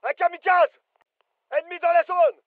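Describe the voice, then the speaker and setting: A man's voice: very high voice, normal speed, no echo, narrow, tinny audio. A male actor playing an alert, businesslike soldier giving clipped, urgent callouts in a military shooter game. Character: British fireteam soldier, alert, businesslike adult man. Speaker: voice actor, French dub.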